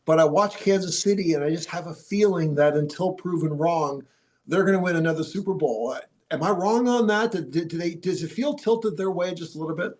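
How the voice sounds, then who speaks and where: A man's voice: fairly high pitch, fairly quick, darkish tone. A man hosting a sports podcast from a TV studio, chatting casually with a broadcaster's polish while stuffy from a cold. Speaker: podcast host.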